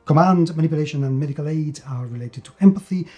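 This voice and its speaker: Emphatic male game reviewer, mellow voice. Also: on the high side, fast talker, faint music behind. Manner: enthusiastic, emphatic, repeats words for stress. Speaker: host and reviewer of tabletop role-playing games. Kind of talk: scripted-sounding video review.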